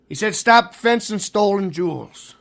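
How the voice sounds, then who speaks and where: A man playing a high-pitched, panicky small-time crook. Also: expressive, a little slow, creaky, a bit bright, heavily acted. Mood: frantic.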